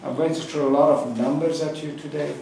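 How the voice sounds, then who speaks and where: A man whose first language is Farsi, giving a public talk at a book gathering, with many pauses, fillers and restarts. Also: on the high side, fairly even intonation, gravelly, warm tone, slight background noise. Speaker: guest speaker at a book club gathering. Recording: echoey hall, far from the mic.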